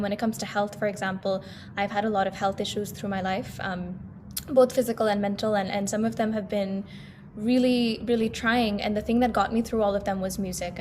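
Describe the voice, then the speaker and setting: A woman's voice: mid-range voice, a little mellow, slight background noise. A woman in her twenties with an American accent, a guest singer chatting on a talk show and slipping between English and Hindi. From United States. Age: 20s. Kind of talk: casual talk show conversation.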